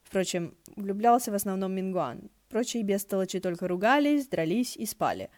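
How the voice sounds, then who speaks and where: A woman's voice: mid-range voice, animated, normal speed, darkish tone, no room sound, studio quality. A woman narrating fiction from a script as an audiobook reader, in a wry storytelling style.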